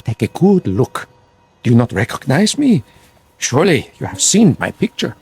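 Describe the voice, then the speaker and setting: An actor playing a sinister, commanding dead dictator in a radio drama, with a husky, insinuating, formal delivery. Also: male voice, mid-range voice, very animated, normal speed, darkish tone, faint music behind, exaggerated voice. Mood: sly, self-assured. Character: sinister dead dictator, coaxing a follower in demagoguery. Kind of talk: vintage-style radio drama acting.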